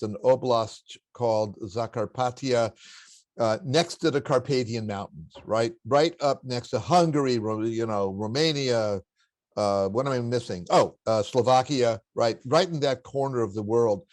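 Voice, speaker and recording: Older baritone man telling family stories. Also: measured pace, no room sound. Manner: warm anecdotal storytelling with chuckles. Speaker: interview guest, spiritual teacher. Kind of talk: reflective storytelling interview.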